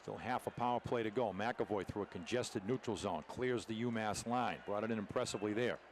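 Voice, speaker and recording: A man's voice: on the low side, fairly quick. A man calling live hockey play-by-play as a commentator, describing the action in short, clipped phrases. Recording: noisy arena broadcast, rink ambience behind.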